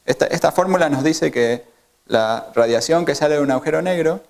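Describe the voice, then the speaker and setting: A man's voice: a little high, fairly quick, creaky, darkish tone. A middle-aged man with an Argentine Spanish accent, giving a hesitant academic lecture in a university auditorium, with many fillers and restarts. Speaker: guest lecturer. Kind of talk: academic colloquium talk.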